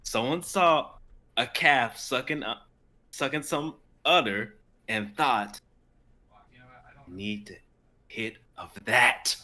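An actor playing a goofy, curious stoned young character in an amateur script reading, musing in a slow drawl. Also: mid-range voice, bright, forceful tone. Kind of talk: amateur dramatic script reading over video call.

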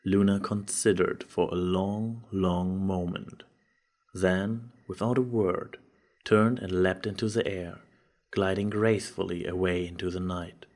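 A man's voice: low voice, steady tone, unhurried, darkish tone. A man reading scripted fiction as the narrator of a dramatised story. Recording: music behind the voice.

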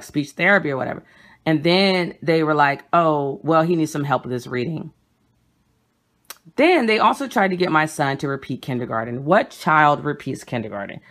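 A young woman with a low voice speaks into a phone held at arm's length in a small room at home. She talks bluntly and with emphasis, hurrying through some lines and slowing down to press her points. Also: a bit bright. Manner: emphatic, blunt and quick-talking. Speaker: vlogger. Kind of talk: casual home vlog showing books. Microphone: phone camera held at arm's length.

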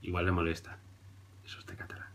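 A man in his thirties from Barcelona with a very deep voice, talking in a dry, sarcastic way. He hesitates and fills gaps with "mm" as he mocks the clips he plays. He is recorded on a webcam at his desk. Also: completely flat delivery, fairly quick, a bit bright, in a whisper. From Barcelona, Spain. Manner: dry, ironic, hesitant with fillers. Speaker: video commentator. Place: indoor room before a printed backdrop.

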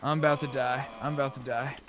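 A man's voice: medium pitch, level tone, fast, a crowd in the background. A man chatting casually as he plays a zombie survival roleplay game. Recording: clearly noisy game audio.

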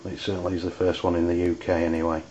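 A man with an English accent giving an unscripted product review in a hesitant, halting way. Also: low voice, completely flat delivery, a little mellow. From United Kingdom. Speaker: amateur radio reviewer. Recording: dull lo-fi audio with background noise.

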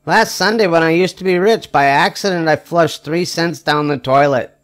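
Older man reading a storybook, slightly high voice. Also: fairly bright tone. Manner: emphatic, with drawn-out wry punchlines. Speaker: storybook reader. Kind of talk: expressive read-aloud of a children's picture book.

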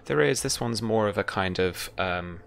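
A young man narrating a tabletop game as game master, in halting, thoughtful phrases, speaking indoors over an online call. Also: on the low side, vocal fry. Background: faint music.

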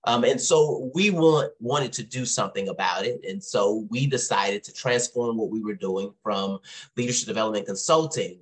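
Texan man, 30s, low voice, earnest guest speaker on a video call, lots of ums. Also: normal speed, poor audio. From Texas. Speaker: nonprofit co-founder, guest speaker. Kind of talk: webinar talk. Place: small room at home.

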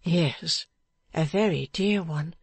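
A woman voicing a gentle, small, childlike young woman from a classic novel, meek yet brave, earnest and polite. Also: low-pitched, slow pace, slightly rough, no room sound, heavily acted. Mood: tender, earnest. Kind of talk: dramatized audiobook reading of a classic novel.

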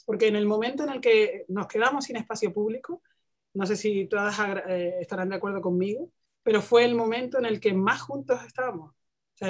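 Woman on a video call, searching for words. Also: mid-range voice. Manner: searching for words, many restarts and English terms. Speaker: panelist, museum director. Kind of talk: panel discussion on video call. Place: home room with bookshelves.

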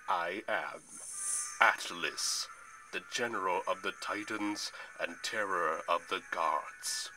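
A man puts on an ancient Titan general for a storybook tale. The voice is deep and booming, drawn out slowly with a sneer. He gloats pompously and menacingly. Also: pushed and bright, exaggerated voice, rough recording.

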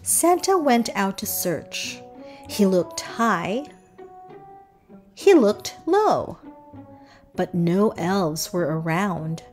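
A woman's voice: medium pitch, animated, extremely slow, long pauses, darkish tone. A woman narrating a children's read-aloud, reading rhythmic verse expressively. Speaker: storybook narrator. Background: music.

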